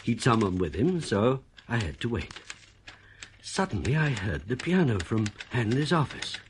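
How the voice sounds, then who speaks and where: A man's voice: medium pitch, big pitch swings, moderate pace, background noise, close to an everyday voice. An actor playing an uneasy, suspicious composer, confiding his own story in a radio thriller. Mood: troubled, confiding. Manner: troubled, confiding first-person storytelling. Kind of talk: radio play narration and dialogue.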